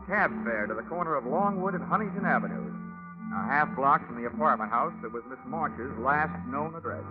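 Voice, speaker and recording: A man's voice: medium pitch, lively intonation, a little fast, natural voice. Wry hard-boiled radio detective played by a man, tinny. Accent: American. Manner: clipped, wry, dry delivery. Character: hard-boiled, wisecracking male freelance insurance investigator. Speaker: lead actor, narrator. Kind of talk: 1940s radio detective acting. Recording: tinny vintage radio recording, music behind.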